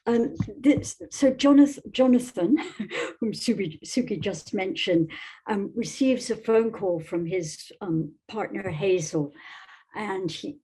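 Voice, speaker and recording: A woman's voice: mid-range voice, mellow, breathy edge, rough recording. A woman, speaking as a guest novelist in thoughtful literary talk and reading. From Scotland.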